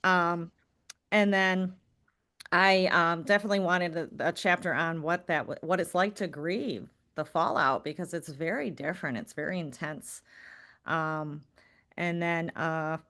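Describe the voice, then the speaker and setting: A young woman guest speaking in a casual, rambling way, full of likes and ums. Her voice sits a little low for a woman. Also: fairly even intonation, measured pace, a bit bright. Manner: chatty, many fillers like um and like. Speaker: author guest. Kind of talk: casual interview chat.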